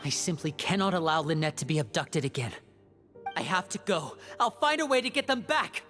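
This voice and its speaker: Intense young team leader, music behind. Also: male voice, a little high, big pitch swings, fairly quick, a bit bright. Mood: resolute. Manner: intense, earnest delivery. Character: anxious, proud young man leading a covert team. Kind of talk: dramatic video game voice acting.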